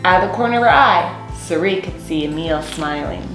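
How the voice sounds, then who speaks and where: A woman's voice: lowish voice, lively intonation, fairly quick, creaky. Roomy-sounding young woman reading a storybook. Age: young adult. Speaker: storyteller reading a children's picture book aloud. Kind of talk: expressive scripted story read-aloud. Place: small room indoors. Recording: roomy echo, faint music underneath.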